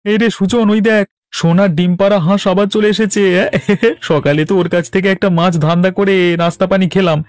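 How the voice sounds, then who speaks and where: An actor voicing a gleeful, mocking village thief, sneering and cackling, in a cartoon. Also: male voice, sits high, fairly even intonation, moderate pace, no echo, clean studio sound, lightly acted. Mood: gleeful scheming. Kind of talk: Bengali cartoon voice acting.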